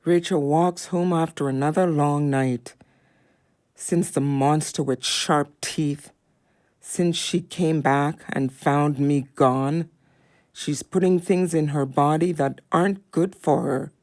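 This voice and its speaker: A woman playing a fond, guiding dog in an audio play, speaking gently and deliberately. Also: low-pitched, fairly even intonation. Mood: concerned. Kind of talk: audio play acting, narrating.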